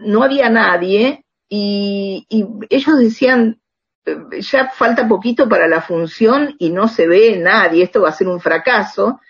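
A woman's voice: mid-range voice, slightly rough. A middle-aged Argentine woman talking conversationally as an interview guest, often checking in with little tag questions. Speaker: radio drama writer, interview guest. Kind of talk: conversational interview, telling anecdotes. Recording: slightly dull lo-fi sound.

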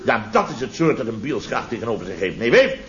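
An actor playing a pompous middle-aged building boss in a radio comedy, blustering indignantly and repeating himself. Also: male voice, medium pitch, very animated, fast talker, natural voice. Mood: outraged, sarcastic. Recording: dull, lo-fi old radio recording.

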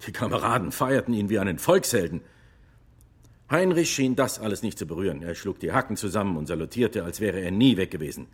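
An actor playing a retired army lieutenant testifying in a radio drama courtroom, husky and matter-of-fact. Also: male voice, lowish voice, lively intonation, fairly quick. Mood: matter-of-fact, earnest.

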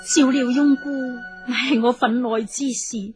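An actress playing a gentle, dutiful young wife with a breathy voice in a Cantonese radio drama. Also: female voice, on the high side, fairly even intonation, unhurried, soft background music, close to an everyday voice. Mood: meek, dutiful.

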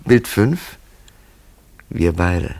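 An actor in a German radio play playing a grave, thoughtful man who speaks in a measured, philosophical way with a gravelly voice. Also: low-pitched, animated, darkish tone, heavily acted. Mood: deliberate, matter-of-fact. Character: grave, thoughtful man pondering a supernatural mystery.

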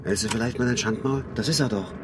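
An actor in a radio drama playing an excitable working-class father who rambles, coaxes and scolds in slang. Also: medium pitch, quick pace, natural voice. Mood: scolding, insistent. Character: excitable working-class father, coaxing his son, bossing mates. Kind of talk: naturalistic radio drama acting.

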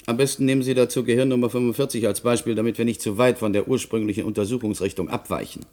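An actor playing a formal, probing investigator in a science-fiction radio play, questioning in an insistent, pointed way. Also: male voice, medium pitch, fairly even intonation, fast, warm tone, natural voice. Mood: insistent, curious. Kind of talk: German science-fiction radio drama acting.

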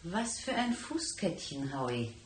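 A woman's voice: low-pitched, sing-song, measured pace. An actress playing a hard, suspicious, sardonic woman in a radio crime drama. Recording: echoey room with noticeable background noise.

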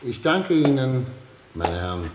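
An actor playing a pompous, imperious head of state in a satirical German radio play, lecturing theatrically. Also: male voice, mid-range voice, sing-song, a little slow, muffled recording, noisy background. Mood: self-important. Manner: pompous, rhetorical, lecturing, with sudden barks. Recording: echoey, roomy radio studio sound.

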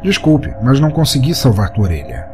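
A cocky, battle-worn young Brazilian monster hunter as a male narrator reads him, wry and cynical. The voice is mellow and sits over music, in the manner of an audio short story. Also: medium pitch, sing-song, fairly quick. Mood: wry teasing.

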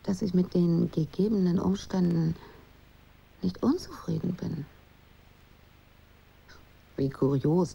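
An actress playing a pensive, newly separated woman in a radio drama, musing in a breathy voice that drifts toward a whisper. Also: on the low side, dark tone, dull audio, full character voice. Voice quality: husky, creaky.

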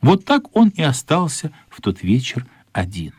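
A man narrating a children's radio play as scripted storytelling, measured and expressive, with pauses. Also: mid-range voice, a little hiss or hum. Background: music.

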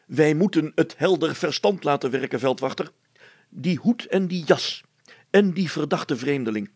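Man putting on a pompous, high-pitched village schoolmaster. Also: lively intonation, normal speed, darkish tone, no echo. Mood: lecturing. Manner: pompous, declamatory, formal wording. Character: pompous, self-important male village schoolmaster playing detective.

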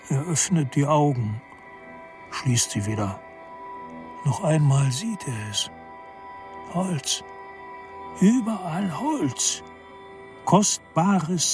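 A man's voice: fairly high pitch, expressive, slow pace, pauses often, a little mellow, faint music behind. A man delivering scripted literary narration for a radio drama.

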